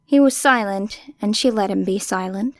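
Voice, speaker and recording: A woman's voice: medium pitch, moderate pace, dry recording. A woman reading a story expressively as an audiobook narrator. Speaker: audiobook reader. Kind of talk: expressive scripted fiction narration.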